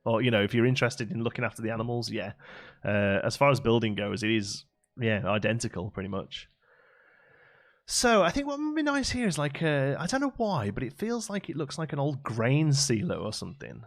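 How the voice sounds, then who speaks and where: A man's voice: medium pitch, very animated, fairly quick. A man with a West Midlands accent from Staffordshire, chatting casually as he streams a game and answers viewers from his home setup. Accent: West Midlands English. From Staffordshire, England. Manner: chatty and easygoing, frequent restarts and fillers. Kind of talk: casual live-stream chat, reading and answering chat. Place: home streaming room with green screen.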